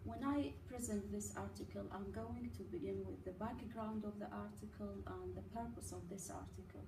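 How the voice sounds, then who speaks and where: Woman from Iraq presenting, echoey and far. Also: mid-range voice. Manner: halting, many uh fillers, careful delivery. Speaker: student presenter. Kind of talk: reading a prepared presentation. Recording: echoey room from a distance with noise.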